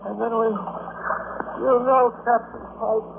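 An actor playing a dying, bitter shipwrecked sailor in a radio drama, weak and halting, gasping out broken phrases. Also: male voice, very high voice, steady tone, creaky, phone-quality sound, heavily acted. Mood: weak, dazed. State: weak, short of breath. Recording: noisy, band-limited old radio recording.

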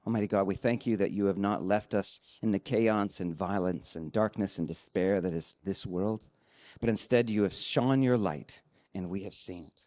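A man delivering a sermon as a pastor, speaking in an earnest, conversational way with deliberate phrasing. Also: lowish voice. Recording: dull, lo-fi sound.

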